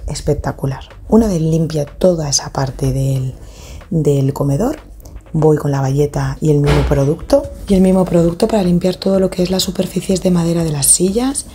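Woman, 30s, low mellow voice, chatty cleaning-vlog voice-over, loose with restarts. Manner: chatty, detailed, with frequent restarts and fillers. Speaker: home cleaning vlogger. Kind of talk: casual voice-over narration of a clean-with-me video.

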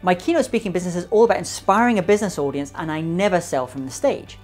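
A man's voice: sits high, fast, faint music behind. A man in his thirties giving a polished, emphatic scripted explainer to camera in a studio, speaking into a lapel mic. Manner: polished, emphatic delivery with gesturing hands. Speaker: business speaker and presenter.

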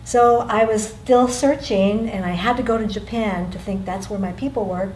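A woman's voice: mid-range voice, steady tone, normal speed, a little mellow. A woman in her sixties recalling her past in a group interview, reflective and laughing between thoughts. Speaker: interviewee, taiko member. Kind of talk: group interview, personal recollection. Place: museum meeting room, seated in a group. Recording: roomy, distant mic, faint room noise.

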